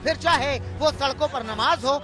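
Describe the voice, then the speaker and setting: A man's voice: very high-pitched, moderate pace, over music. A man reading a scripted television news report as a reporter.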